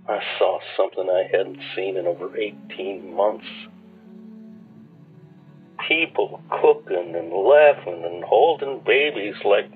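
An actor in a radio play playing a weary, haunted war veteran who speaks in a reflective, confessional way. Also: male voice, sits low, phone-quality sound. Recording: thin radio-like sound with music.

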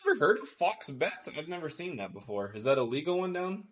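A man's voice: on the high side, sing-song, brisk. A man co-hosting a casual podcast about fights and betting, blunt and heavy on swearing. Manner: profane, blunt, complaining. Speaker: podcast co-host.

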